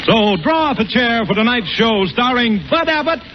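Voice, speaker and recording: A man's voice: very high voice, animated, moderate pace, background noise. A male announcer emphatically proclaiming a sponsored 1940s radio show. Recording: dull old radio broadcast with loud music.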